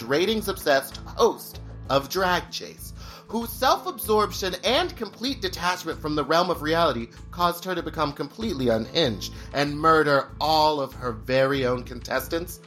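A woman's voice: sits low, expressive, unhurried, fairly bright tone. A woman playing a catty, melodramatic drag queen contestant and murder suspect in a campy comic radio play. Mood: accusing. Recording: faint music under the voice.

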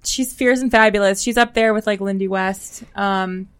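A woman's voice: medium pitch, fairly even intonation, normal speed, clear, resonant tone. An American woman co-hosting a podcast, chatting casually about books in informal speech full of "like". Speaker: podcast co-host discussing upcoming books. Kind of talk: casual book chat.

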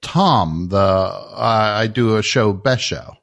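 A man's voice: fairly low pitch, slow pace, vocal fry, fairly bright tone. A man hosting a comedic call-in radio show, teasing callers and repeating words when incredulous.

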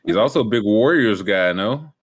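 A man hosting a casual sports podcast, talking in a conversational, halting way. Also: lowish voice, lively intonation, husky. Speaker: show host.

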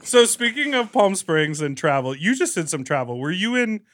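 Guy podcast host, higher pitched voice, super animated, joking around casually. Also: normal speed, background noise. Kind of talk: casual comedic podcast banter.

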